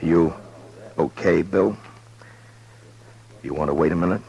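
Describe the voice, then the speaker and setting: A stern, deep-voiced frontier marshal. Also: male voice, unhurried, creaky, dark tone. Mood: grim, instructing. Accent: American. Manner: terse, grim, commanding. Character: stern, weary male US marshal of the Old West. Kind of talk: 1950s radio western acting. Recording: muffled old radio broadcast with music.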